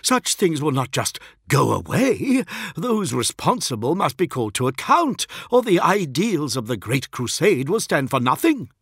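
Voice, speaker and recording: A man voicing a foppish, deferential imperial envoy in an audiobook, careful and uneasy, with smooth formal phrasing. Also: mid-range voice, sing-song, measured pace, no room sound, studio quality, pitched above the performer's own voice. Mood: pleading. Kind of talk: dramatic audiobook character voice.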